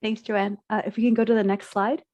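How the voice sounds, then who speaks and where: A woman on municipal staff, getting over a cold and sounding raspy, presenting slides at a committee meeting in measured, prepared remarks. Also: medium pitch, little pitch movement, darkish tone, muffled recording, a little hiss or hum. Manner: measured, reads prepared remarks, clears throat.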